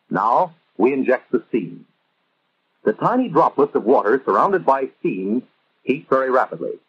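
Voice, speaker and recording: Animated American man narrating a vintage training film. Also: medium pitch, husky. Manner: measured, emphatic, didactic. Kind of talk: 1950s instructional film narration. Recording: dull vintage 1950s film soundtrack.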